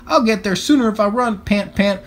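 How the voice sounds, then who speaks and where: Man doing an excitable, high-pitched schoolkid. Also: fairly quick, slight background noise, natural voice. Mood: hurried. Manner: high, exclaiming. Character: excitable, anxious schoolchildren on a storybook field trip.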